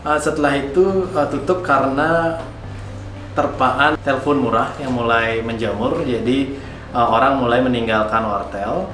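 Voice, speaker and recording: A man's voice: mid-range voice, a little slow, a bit bright, slight background noise. Man in an echoey room. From Bali, Indonesia. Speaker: startup founder and CEO being interviewed. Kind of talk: explanatory interview answers. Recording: roomy echo with music behind.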